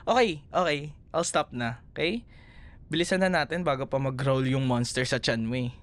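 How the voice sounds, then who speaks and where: A man's voice: medium pitch, moderate pace, a little hiss or hum, lightly acted. A man with a Filipino accent playing an affectionate, teasing, protective young boyfriend in an intimate ASMR roleplay, speaking in a tender, soothing way. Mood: playful teasing. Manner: tender, playful, soothing, softly murmured.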